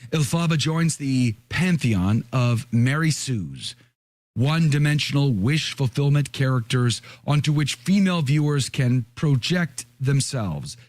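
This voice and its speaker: A man with a hoarse, raspy voice from a cold reads an article at a measured pace, adding his own comments. His pitch sits in an ordinary middle range. The sound is dry and close, with no room echo. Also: darkish tone. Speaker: podcast host. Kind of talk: reading an article aloud with commentary.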